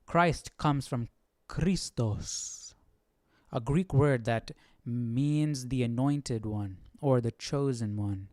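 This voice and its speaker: A man's voice: mid-range voice, slow pace, darkish tone, dry recording. A man hosting a livestream study, thinking aloud in a casual, questioning way. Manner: questioning, musing. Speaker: host of Bible study livestream.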